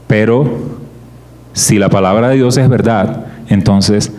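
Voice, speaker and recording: A middle-aged man from Barranquilla with a Colombian coastal accent, a guest speaker giving a conversational church talk with frequent pauses and restarts. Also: on the low side, mellow. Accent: Colombian coastal Spanish.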